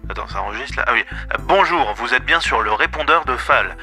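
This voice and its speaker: A man's voice: mid-range voice, animated, brisk, over music, lightly acted. A man playing someone who awkwardly records his own answering-machine greeting, through distant answering-machine sound. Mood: fumbling. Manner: awkward, fumbling.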